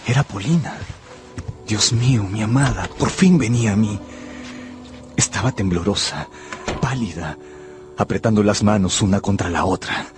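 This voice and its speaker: An actor playing an earnest, lovestruck young man who narrates his own story in an expressive radio drama style. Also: fairly low pitch, normal speed, pauses often, over music, lightly acted. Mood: anxious, lovestruck. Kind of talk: dramatised first-person narration.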